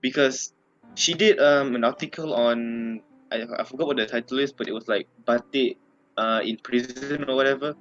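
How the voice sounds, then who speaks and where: Young malaysian dude cohosting a podcast, keeps giggling, kinda dull lo-fi sound w faint music. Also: male voice, medium pitch, normal speed, a bit bright. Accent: Malaysian English. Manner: giggly, laughs often between lines. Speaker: podcast co-host. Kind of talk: casual chat.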